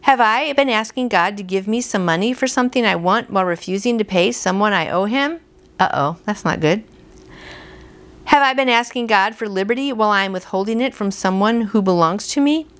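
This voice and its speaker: A woman's voice: mid-range voice, lively intonation, a little fast, a bit bright. A woman in her fifties hosting a devotional, reading aloud in an earnest, conversational way. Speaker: devotional host. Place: small furnished room at home, indoors.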